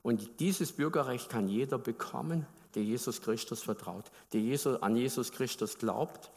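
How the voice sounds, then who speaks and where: Preacher, male, from Baden, mid pitch, chatty sermon delivery, long reverb of a church hall. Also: moderate pace, darkish tone. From Baden, Germany. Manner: conversational, asks the congregation questions.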